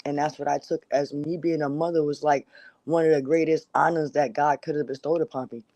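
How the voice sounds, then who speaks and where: Low-voiced earnest woman, dry sound. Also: steady tone, a little fast, dark tone, no echo. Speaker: talk show guest. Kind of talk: personal reflection in conversation.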